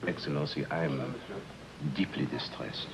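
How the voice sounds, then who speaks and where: An actor playing a grave, deferential informant with a gravelly voice and formal, halting speech in an old-time mystery drama. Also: male voice, a bass voice, noisy background, heavily acted. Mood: grave, regretful.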